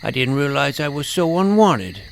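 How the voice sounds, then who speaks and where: A plucky young hero from another world played by a man tells his own story in a fantasy audio drama. The voice is medium-pitched for a man, with scripted storytelling over faint ambient noise. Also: big pitch swings, normal speed, vocal fry. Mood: casual, defensive. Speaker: narrator and lead character. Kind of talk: first-person scripted fantasy narration with dialogue.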